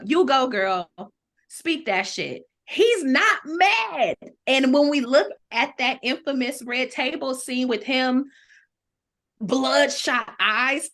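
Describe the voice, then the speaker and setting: A woman's voice: high voice, a bit bright. An American woman from Toledo talking casually on a podcast, outspoken and opinionated, with slangy, profane phrasing. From Toledo, United States. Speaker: podcast panelist.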